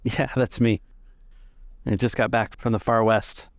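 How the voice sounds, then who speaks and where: A man's voice: medium pitch, fairly even intonation, unhurried, no echo, studio quality, natural voice. A man playing a curious, easily surprised male scholar and traveler in a tabletop game. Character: bored male scholar doctor back from expedition.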